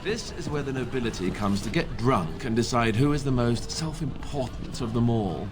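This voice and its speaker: A man's voice: medium pitch, expressive, moderate pace, noisy background, lightly acted. A man voices a wry young companion in a fantasy game. His mid-range voice delivers everything with dry sarcasm. It is conversational and understated. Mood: sarcastic. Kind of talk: fantasy video game dialogue.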